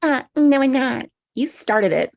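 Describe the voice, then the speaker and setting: Woman playing a bitter, sarcastic single woman, higher-pitched. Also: animated, a little slow, creaky, dry recording, studio quality, exaggerated voice. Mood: petty, combative. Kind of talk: comedy screenplay table read.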